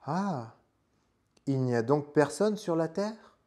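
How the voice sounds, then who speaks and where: A man voicing a small, lonely boy prince in a storybook, with gentle, pensive, questioning delivery. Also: on the high side, lively intonation, slow. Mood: curious, lonely.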